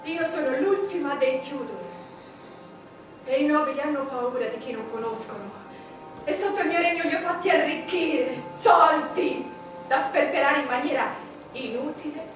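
A woman's voice: high-pitched, moderate pace, lightly acted. An actress playing an ageing, proud, paranoid and imperious queen in a theatrical stage monologue, with dramatic declamation. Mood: alarmed, defiant. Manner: dramatic declamation, swinging from hushed brooding to loud outbursts. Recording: echoey stage, distant mic, loud music behind.

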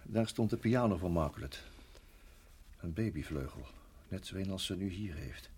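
An actor in a radio play voicing a reflective, middle-aged married farmer who reminisces in a measured, halting way. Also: male voice, low voice, lively intonation, a little mellow, slight background noise. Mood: sombre, halting. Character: weary, reflective married farmer recalling his years in Rhodesia.

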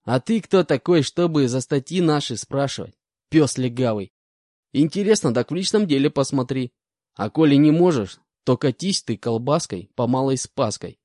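A man's voice: a little high, lively intonation, moderate pace, darkish tone, no echo, clean studio sound, pitched above the performer's own voice. A man voicing an insolent grey-haired male convict with gold teeth, talking in brash slang. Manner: brash street slang, cocky.

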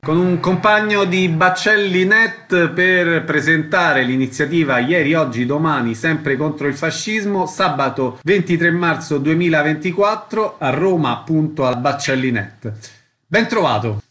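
Guy hosting a radio show, fairly high voice, presenting guests. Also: unhurried, a bit bright, faint music behind. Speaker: radio host.

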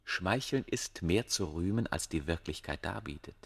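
A man acting an ardent scholar of ancient Egypt in a German radio play, with formal, poetic phrasing and a gravelly voice. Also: low-pitched, expressive, normal speed, exaggerated voice. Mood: courtly flattering.

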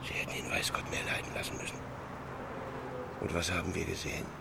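A man's voice: low voice, little pitch movement, brisk, creaky. An actor playing a bitter male prison inmate with terse, dramatic phrasing in a radio play, over a noisy background. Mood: bitter, scornful.

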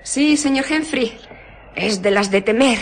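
An actress playing a nosy, fretful village innkeeper in a radio drama, deferential and given to muttering to herself. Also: female voice, on the high side, measured pace, slight background noise. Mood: polite.

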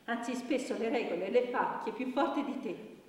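An actress playing a wounded young woman giving halting, intense testimony about abuse in a theatrical radio drama. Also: on the high side, close to an everyday voice. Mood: bitter. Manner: halting, intense, dropping to hushed tones. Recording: distant, echoey hall with faint noise.

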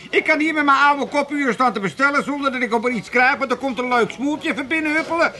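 Blustering, high-pitched old Amsterdam man. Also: fast talker, pushed and bright, exaggerated voice. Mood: grumbling, demanding. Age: older. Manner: blustering, repeats words, folksy banter. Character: cantankerous, nosy, teasing old Amsterdam father. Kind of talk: 1980s radio comedy acting.

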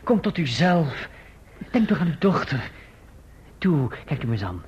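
An earnest young gentleman in an old radio drama, formal and literary in his phrasing. The voice is fairly high and husky, with lively intonation. The recording sounds dull and muffled. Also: a little slow, exaggerated voice. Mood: urgent, soothing. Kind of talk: radio play narration and dialogue.

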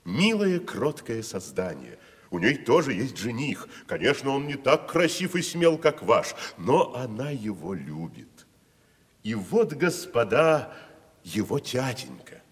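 An actor playing a grandiose, declamatory wandering tragedian in a 1970s radio play. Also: male voice, mid-range voice, sing-song, slow pace. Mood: mock-festive. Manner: theatrical, declamatory, mock-solemn. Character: grandiose wandering tragic actor, man, middle-aged. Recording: reverberant studio.

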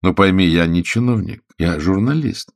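A man reading an audiobook, voicing a calm, stubborn male journalist with a dry, restrained manner. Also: low-pitched, moderate pace. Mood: calm, firm. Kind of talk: audiobook character dialogue.

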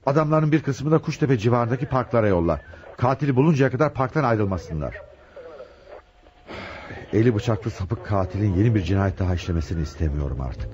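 A man's voice: fairly low pitch, animated, a little fast, a little mellow, natural voice. A man acting an impatient, commanding police chief inspector in a radio drama, urgently giving short, repeated orders. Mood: exasperated, commanding. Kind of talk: Turkish radio theatre acting. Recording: dull, lo-fi radio recording.

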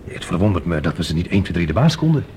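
An actor playing an alert, practical spaceman with a brisk, questioning manner in a science-fiction radio drama. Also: sits low, big pitch swings, a little mellow. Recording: noisy old radio drama recording.

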